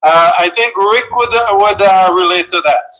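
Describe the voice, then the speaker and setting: A man's voice: high-pitched, bright, forceful tone. A man from Saint Vincent with a faint, mostly lost Vincentian accent, a guest joking and telling stories over a phone call. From Saint Vincent and the Grenadines. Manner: chatty, joking, halting restarts. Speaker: guest caller. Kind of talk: casual storytelling on a call.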